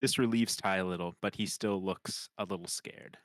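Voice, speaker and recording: Deep-voiced man reading stage directions. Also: steady tone, moderate pace, fairly bright tone, dry recording. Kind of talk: scripted screenplay narration at a table read.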